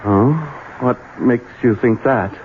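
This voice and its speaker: An actor playing a bitter, jumpy, hard-drinking gentleman in a 1970s radio drama, husky, curt and prone to stammering. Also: medium pitch, fairly even intonation, slow, slight background noise, natural voice. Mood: weary, uneasy. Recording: muffled phone-like vintage radio broadcast.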